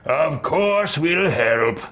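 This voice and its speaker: A man voicing a plainspoken adventurer hero, direct and forceful, in a roleplayed video game. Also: a little high, animated, extremely slow, heavily acted. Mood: resolute.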